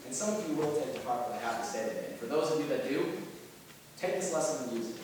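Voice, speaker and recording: A young man performing a dramatic monologue as an anxious twenty-year-old looking back on depression, halting and earnest, with theatrical swings in volume. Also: mid-range voice, close to an everyday voice. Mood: wry, reflective. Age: young adult. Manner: halting, earnest, swinging from quiet to loud outbursts. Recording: very echoey hall from far off, noisy.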